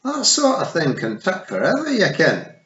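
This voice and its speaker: A man voicing a tiny, fierce male pixie chief with a shrill, blustering Scottish accent. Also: huge pitch swings, moderate pace, a bit bright, full character voice. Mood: scheming glee. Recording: echoey, slightly dull.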